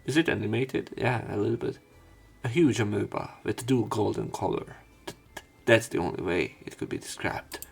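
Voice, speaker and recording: Male let's player, lowish voice, dramatic read-aloud of game text plus offhand remarks. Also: normal speed, vocal fry, slightly rough, soft background music. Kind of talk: read-aloud game narration with casual commentary.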